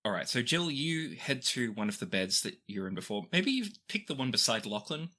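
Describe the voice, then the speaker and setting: Bright-voiced, animated game master. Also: medium pitch, fairly quick. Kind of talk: improvised tabletop game narration.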